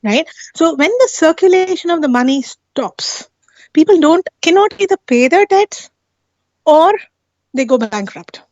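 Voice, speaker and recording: A woman with a high voice talks through an explanation in a casual, insistent way, regularly tagging her points with questions for agreement. The audio is dry and somewhat dull, like a lo-fi call. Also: expressive, measured pace, darkish tone, dry recording. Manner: insistent, often seeks agreement with tag questions. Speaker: guest on a live talk stream.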